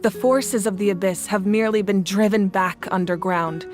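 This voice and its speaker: A woman playing a composed, grave ruler in a video game, speaking in measured, deliberate lines. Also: medium pitch, fairly even intonation, lightly acted. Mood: grave, resolute. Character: solemn, composed woman war-god ruler of a nation. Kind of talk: video game voice acting, dramatic exposition. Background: game music.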